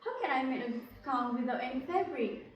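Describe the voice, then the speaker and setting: Very echoey distant recording, woman acting as high-pitched young Cinderella, anxious, doubting, readers' theatre. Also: lively intonation, brisk, highs cut off. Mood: anxious, doubtful. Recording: very echoey, distant mic, faint noise.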